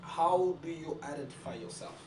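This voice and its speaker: Male host, English, halting delivery; distant mic in an echoey room. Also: a little high. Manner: hesitant, searching for words. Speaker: interview host. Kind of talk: casual interview. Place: indoor office with African decor. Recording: echoey room, mic far off, loud guitar music behind.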